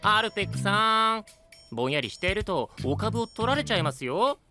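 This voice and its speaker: A man's voice: high voice, big pitch swings, moderate pace, sharp tone, exaggerated voice. A man playing a teasing, self-assured young character in an anime voice drama, speaking politely with a needling edge. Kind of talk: Japanese anime voice drama acting. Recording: music behind the voice.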